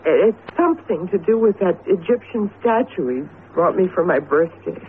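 A woman's voice: fairly low pitch, animated, normal speed, close to an everyday voice. Lady doing upset fretful wife in old radio play, voice all up and down, faint hiss. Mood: distraught. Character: distraught, worried wife of an obsessed professor. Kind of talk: 1970s radio drama acting. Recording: narrow-band, faintly noisy old radio broadcast.

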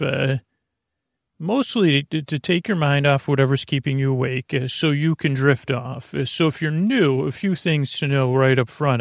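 Gravelly, meandering male bedtime podcast host. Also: medium pitch, dry recording, studio quality. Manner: meandering, halting, self-interrupting, tangent-prone. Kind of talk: rambling bedtime podcast monologue.

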